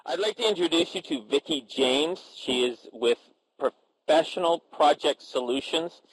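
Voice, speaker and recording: A man moderating a professional panel discussion, introducing guests and asking questions in a halting way with many filler sounds and restarts. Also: fairly high pitch, slight background noise, rough recording.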